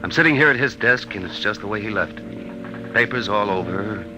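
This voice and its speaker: An actor playing a sombre, resolute man dictating a farewell report in a 1950s radio drama, deliberate and confiding. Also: fairly low pitch, lightly acted. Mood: grave, resolute. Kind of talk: 1950s radio drama acting, first-person narration. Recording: noisy old radio broadcast recording.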